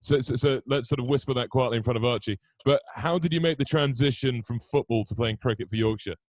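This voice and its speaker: A man who asks his questions fast, in a playful, mock-formal tone. His voice sits at an everyday pitch and is recorded close, dry and direct. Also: a little mellow, no room sound. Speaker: co-host, interviewer. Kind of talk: podcast interview.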